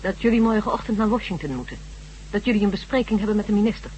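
A woman's voice: mid-range voice, expressive, racing, a little mellow, close to an everyday voice, poor audio. Woman playing earnest lady scientist, persuasive, mid voice, radio play with a bit of hum. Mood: composed, insistent. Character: earnest, insistent woman scientist who treats friends. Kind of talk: radio drama acting.

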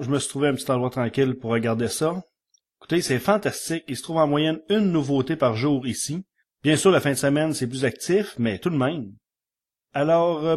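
A man presenting a podcast news segment, rattling off a list in a quick, chatty way with frequent fillers. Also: mid-range voice, a little mellow. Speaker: podcast columnist.

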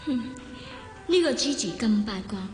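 A reserved young office worker with a Hong Kong Cantonese accent. She is polite and modest, with a fairly high voice, in a radio drama with faint music behind. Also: expressive, measured pace. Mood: pensive.

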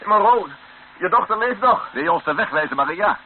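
An actor playing a brash, ruthless mercenary captain in a radio drama, blunt and commanding. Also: male voice, high voice, lively intonation, fairly quick. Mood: brisk questioning. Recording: tinny band-limited old radio recording, noisy.

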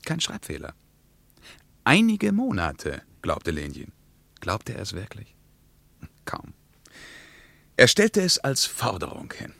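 Ironic intellectual man arguing in a radio play, deep voice, really swings up and down, dry studio. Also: wildly swooping pitch, creaky, bright, forceful tone, no echo, full character voice. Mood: emphatic, ironic. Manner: emphatic, rhetorical, deliberate pauses. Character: male traveller, argumentative, ironic intellectual debating politics. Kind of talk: German radio play dialogue.

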